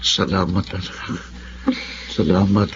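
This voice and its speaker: An actor playing a weak, gentle, ailing Filipino father in a radio drama. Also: sits low, fairly even intonation, slow. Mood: feeble, grateful. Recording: muffled, noisy recording.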